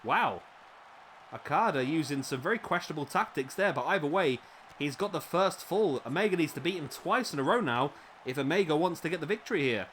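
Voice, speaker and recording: A man with a slightly raspy voice, commentating a wrestling video game play-by-play, excitable at big moves and chatty in asides. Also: on the high side, a little fast, slight background noise.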